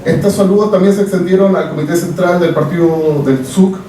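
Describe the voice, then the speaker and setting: A young Chilean man with a Chilean Spanish accent, a historian giving a formal talk from notes, measured and with frequent "eh" fillers. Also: high voice, fairly even intonation, mellow, a little hiss or hum. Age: young adult. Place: event hall. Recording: echoey hall, mic far off.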